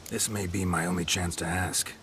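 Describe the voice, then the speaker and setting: A man's voice: sits low, steady tone, moderate pace, a little hiss or hum. An actor playing a possessed monster hunter with a haughty nobleman's ghost inside, mocking and archly formal, in a video game cutscene. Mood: scornful. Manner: mocking, archly formal, old-fashioned speech. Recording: game mix with loud ambience.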